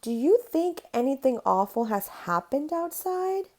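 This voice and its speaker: A woman's voice: sits high, big pitch swings, measured pace, darkish tone, voice pushed up. Woman reading as a wondering young storybook boy.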